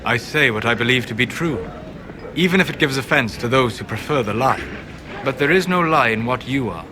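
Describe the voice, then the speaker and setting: Man as a scholarly game mage, calm, formal, precise, medium pitch, noisy surroundings. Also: lightly acted. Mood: measured. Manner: measured, courteous, precise. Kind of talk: dramatic game dialogue. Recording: game audio with background noise.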